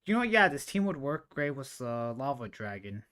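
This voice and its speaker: A man live streaming a game with casual, rambling commentary, thinking out loud as he plays. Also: medium pitch, big pitch swings, moderate pace.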